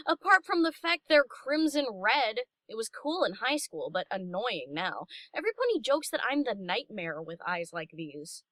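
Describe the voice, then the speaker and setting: A woman's voice: on the high side, big pitch swings, unhurried, fairly bright tone, no room sound. A woman voicing a brash young DJ pony, casual and teasing, in an audio story. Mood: wry, teasing. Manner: casual, cheeky, sardonic. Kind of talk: character acting in audio story reading.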